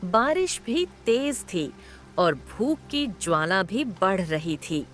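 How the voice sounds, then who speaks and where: A woman narrating a cartoon story in an expressive, measured storytelling manner. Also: medium pitch, soft background music.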